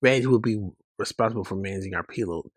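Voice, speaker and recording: A man's voice: lowish voice, fast, no room sound, clean studio sound. A man performing dialogue from a dramatic story.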